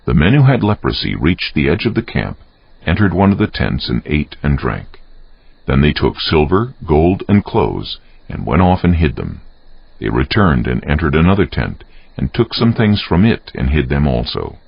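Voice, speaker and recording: A man's voice: extremely low pitch, sing-song, moderate pace, gravelly, slightly rough. A man narrating a dramatized Bible audiobook in a scripted, dramatic storytelling style. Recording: dull, muffled audio.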